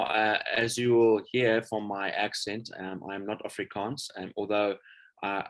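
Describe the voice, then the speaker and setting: A man giving an informal lecture as a guest speaker, relaxed and chatty, often pausing on filler sounds. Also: lowish voice.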